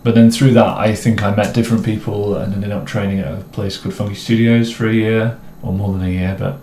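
A young adult man from the English Midlands with a mixed, somewhat posh English accent, co-hosting a casual chat in a relaxed, rambling way with self-mocking jokes. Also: lowish voice, fairly quick. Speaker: co-host. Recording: echoey room with faint noise.

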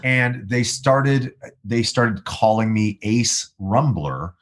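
A man with a naturally low baritone voice tells a winding story, stopping and restarting his sentences often. He is a guest musician in a casual interview. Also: slow. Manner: rambling, animated storyteller with many restarts.

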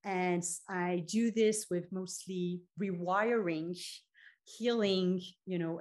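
A middle-aged woman from France with a French accent, a love coach chatting earnestly as a guest and explaining her ideas with frequent "you know". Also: fairly low pitch. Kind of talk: casual interview chat.

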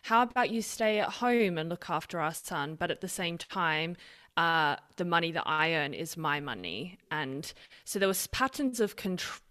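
Guest, woman, 30s, mixed Australian-British accent, lowish voice, candid reflective storyteller. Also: expressive, normal speed, pushed and bright. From Australia and Britain. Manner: open, reflective storytelling with frequent restarts. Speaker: podcast guest. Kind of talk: conversational interview.

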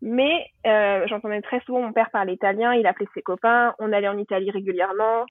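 A woman's voice: medium pitch, fairly even intonation, fast talker, dry recording. Chatty French woman on a tinny call. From France. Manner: chatty, warm storytelling with frequent fillers and restarts. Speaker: guest. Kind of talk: casual podcast conversation. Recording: tinny phone-line audio.

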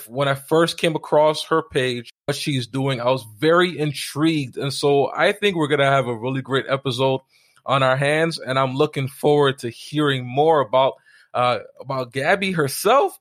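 A man's voice: mid-range voice, fairly bright tone. A man hosting a podcast, opening upbeat and encouraging his guest with short replies. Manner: upbeat, encouraging, brief backchannels. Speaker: podcast host. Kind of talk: podcast interview.

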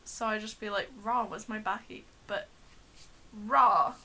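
A woman's voice: medium pitch, steady tone, a little slow, sharp tone, slight background noise. A woman co-hosting a podcast, chatting in a playful, rambling, self-deprecating way. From London. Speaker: podcast co-host. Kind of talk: casual chat.

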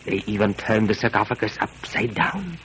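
A man playing a courteous old guide who speaks slowly and mournfully, a bit off the microphone. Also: fairly low pitch, lively intonation, fairly bright tone. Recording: noisy old film sound, off-mic.

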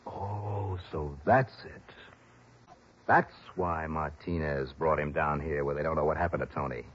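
An actor playing a tough, principled American private investigator in an old radio drama, wry and hard-boiled. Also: male voice, extremely low pitch, unhurried. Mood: urgent. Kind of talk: 1960s radio detective drama acting and narration. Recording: muffled, hissy old radio recording.

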